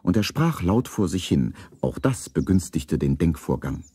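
A man's voice: deep voice, big pitch swings, moderate pace, dark tone, slightly rough, heavily acted. A man narrating a 1970s German children's radio play in a scripted storybook style. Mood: measured storytelling.

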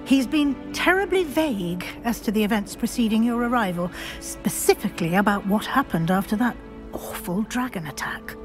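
A stern, brisk professor, crisp and businesslike, played by an actress, her fairly high voice riding over clear orchestral music in a game cutscene. She keeps things moving with clipped, no-nonsense authority. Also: lively intonation, close to an everyday voice. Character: brisk older female professor, stern and proper.

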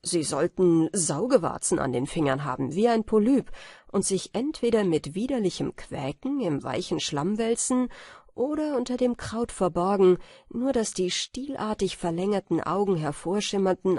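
A storyteller narrates a fairy tale meant for falling asleep. The voice is husky and sits at a middle pitch. The reading is scripted audiobook narration, recorded very dry. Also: animated, normal speed, darkish tone, no room sound.

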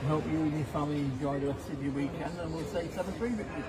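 Radio operator: man, middle-aged, voice a touch high, courteous and chatty, recorded in a noisy event room. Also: fast, a loud crowd. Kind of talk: casual amateur radio contact with formal call signs. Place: indoors by a window at an event. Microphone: bystander's camera near the operator, who holds a hand microphone. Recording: clear background noise, busy event room.

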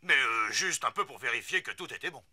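A man voicing a goofy, gluttonous male bear in a cartoon, with a gravelly voice. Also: mid-range voice, animated, a little fast, pushed and bright. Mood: sheepish.

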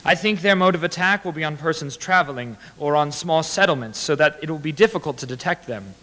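A man in his twenties delivers old letters as a formal public reading. The pitch is somewhat raised for a male voice. A podium microphone catches it with the ringing reverb of a large hall. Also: expressive, fairly quick, pushed and bright. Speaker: dramatic reader. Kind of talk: formal read-aloud of historical letters. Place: large indoor hall with brick walls.

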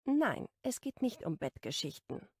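A narrator plays a stressed young woman in a romance audiobook. She is candid and a little defensive, with wry edges. Her voice has noticeable vocal fry and sits very dry. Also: on the high side, sing-song, measured pace, no room sound, exaggerated voice. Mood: confiding.

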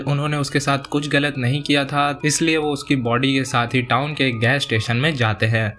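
A man's voice: medium pitch, fairly bright tone. A man narrating a scripted film recap in a colloquial, casual storytelling way with slangy asides.

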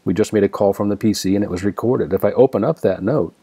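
An American man narrating a step-by-step software demonstration in a methodical, explanatory way. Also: fairly low pitch, quick pace, breathy edge, no room sound. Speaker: software tutorial presenter.

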